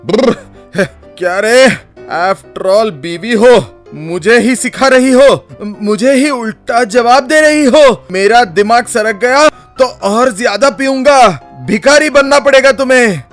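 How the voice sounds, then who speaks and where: A man's voice: very high voice, slow, fairly bright tone, faint music behind, voice pushed up, full character voice. A man voicing a drunken, bullying village husband in a cartoon story, boastful, scornful and blustering. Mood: angry. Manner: blustering, taunting.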